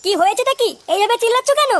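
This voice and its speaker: A woman's voice: very high voice, racing. A woman voicing a testy older housewife who snaps back, in a comedy cartoon. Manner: snappy, answering back.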